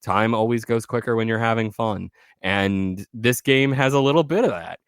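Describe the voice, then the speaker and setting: A man's voice: fairly low pitch, normal speed, clean tone, no room sound, studio quality. A man hosting a game review podcast, his voice congested, scratchy and nasal from allergies, talking in a showy announcer style. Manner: showy announcer patter, keeps saying "ladies and gentlemen". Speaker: podcast host.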